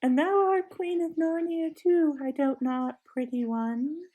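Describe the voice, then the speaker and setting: A woman voicing a sly witch queen who speaks in a soft, sweet, quiet and musical way, coaxing and half-mocking, in a storybook reading. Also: fairly high pitch, lively intonation, slow pace, phone-quality sound.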